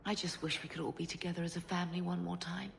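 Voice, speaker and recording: An actress playing a middle-aged noble mother in a video game, wistful and gently reflective. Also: fairly low pitch, level tone, fast, vocal fry, a little hiss or hum. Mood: mournful, fond. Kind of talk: video game cutscene acting.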